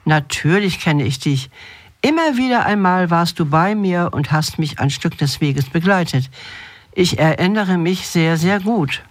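A woman voicing a kindly, unafraid little old lady in a fairy tale, gentle and understanding. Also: lowish voice, animated, measured pace, dry recording. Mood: warm, assured. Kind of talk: fairy tale read aloud.

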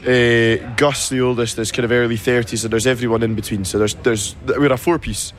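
A man in his twenties from the Scottish Highlands, a Gaelic native speaker with a Highland accent, answering interview questions about his band in a friendly, halting way full of ums and restarts. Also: fairly even intonation. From Scotland. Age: 20s. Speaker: band singer.

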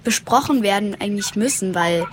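A woman's voice: medium pitch, lively intonation, a little fast. A woman interviewer speaking informally and tentatively, sometimes searching for her words. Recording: faint background room noise. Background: faint ambient noise.

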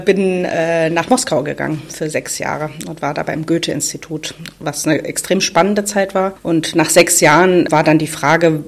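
A woman in her forties from Thuringia, a podcast guest telling her story in a chatty, self-ironic way and laughing often. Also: fairly low pitch. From Thuringia, Germany. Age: 40s. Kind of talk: casual conversational storytelling.

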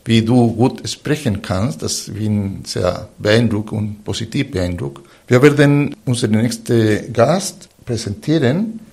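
A man with a foreign accent in German, a non-native speaker hosting a radio interview in halting, deliberate phrases. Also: fairly low pitch, vocal fry, darkish tone. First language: not German. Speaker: radio show host.

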